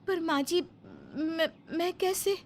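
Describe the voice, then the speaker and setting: A woman voicing a polite, gentle young daughter-in-law in a Hindi moral story. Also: extremely high pitch, slow pace, slight background noise, pitched above the performer's own voice. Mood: hesitant.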